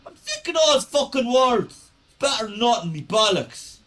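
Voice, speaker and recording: A man's voice: extremely high pitch, very animated, normal speed, a bit bright, full character voice. A man playing a disgruntled, hungover Irish factory worker in a radio play, grumbling and swearing emphatically. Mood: fed up, irritated. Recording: roomy, distant from the mic.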